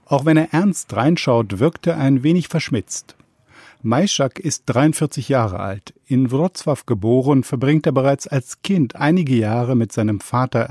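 A man's voice: medium pitch, a little mellow, husky, no echo. Dry studio male news narrator. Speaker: radio reporter.

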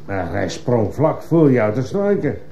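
An actor playing a stern, sceptical judge questioning a witness with authority in a radio drama. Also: male voice, medium pitch, very animated, a little slow, warm tone. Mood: probing, sceptical. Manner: authoritative, probing. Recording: muffled, slightly noisy old radio recording.

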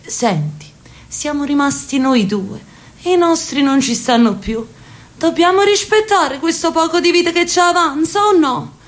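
An aging sister from Naples, fond and stubborn, coaxing her brother in a radio play. Her voice sits fairly high for a woman, with a lilt and warm insistence. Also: animated, unhurried. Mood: tender. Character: elderly Neapolitan sister, insistent and affectionate. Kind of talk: radio drama acting.

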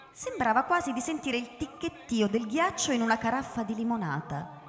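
A woman reads scripted literary narration for a radio drama. Her voice is slightly high and carries the reverb of a hall, with music underneath.

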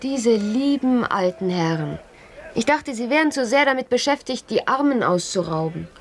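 High-voiced, sharp-tongued gentlewoman. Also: lively intonation, moderate pace. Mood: teasing mockery. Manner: teasing, sardonic. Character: spirited, mocking young gentlewoman with a sharp tongue. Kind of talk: radio drama acting.

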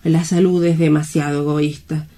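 A woman's voice: low-pitched, little pitch movement, normal speed, warm tone. A woman acting a frightened, desperate young woman worn down by loneliness, in dramatic radio-play style. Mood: bitter, sorrowful.

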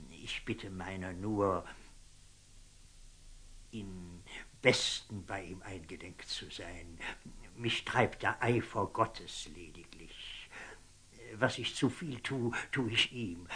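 A man's voice: low voice, a bit bright, breathy edge, exaggerated voice. An actor playing a pompous, zealous and scheming old churchman in declamatory German radio drama style. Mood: fawning, sly. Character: zealous, scheming, fanatical old churchman, shifting from outrage to fawning. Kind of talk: theatrical German radio drama acting of classic stage verse.

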